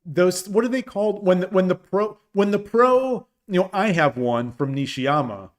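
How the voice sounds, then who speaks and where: Chatty man streamer, voice going hoarse. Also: high-pitched, lively intonation, normal speed. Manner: rambling, many restarts, reads chat aloud. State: voice getting dry and hoarse. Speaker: shogi streamer. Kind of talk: casual live-stream chat.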